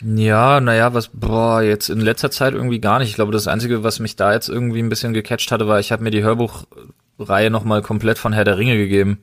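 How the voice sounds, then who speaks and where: A man's voice: on the low side, little pitch movement, brisk, no room sound, clean studio sound. A man co-hosting a podcast, talking in a casual, conversational way. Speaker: podcast co-host.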